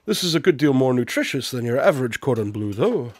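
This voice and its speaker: An actor playing an eccentric, condescending detective-inventor in a radio drama, speaking in formal, precise, calmly pompous diction. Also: male voice, mid-range voice, fast, no room sound, studio quality. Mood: smug, matter-of-fact.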